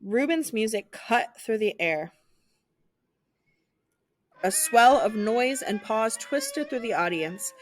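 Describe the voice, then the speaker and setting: A woman narrating a children's picture book aloud in an expressive, theatrical storytelling style. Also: a little high, takes it slowly, long pauses, noisy background.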